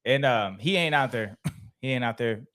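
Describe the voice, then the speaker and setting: A man's voice: medium pitch, animated, moderate pace, a bit bright. A young male host with an ordinary mid-range voice, talking in a loose, laid-back way. He tells stories casually, often breaking into laughter and fillers. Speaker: podcast host. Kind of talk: casual podcast chat.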